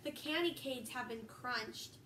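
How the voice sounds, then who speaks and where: A woman's voice: on the high side, measured pace, sharp tone. A young girl chatting to viewers and reading a storybook aloud. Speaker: host and storybook reader. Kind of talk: casual vlog and read-aloud storytelling. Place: echoey room, some distance from mic. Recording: roomy echo, faint background noise.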